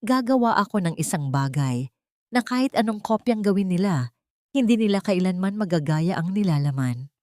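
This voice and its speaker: A woman's voice: mid-range voice, lively intonation, moderate pace, a little mellow, dry recording, clean studio sound, close to an everyday voice. Earnest Filipino heroine, read by a woman narrator. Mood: resolute.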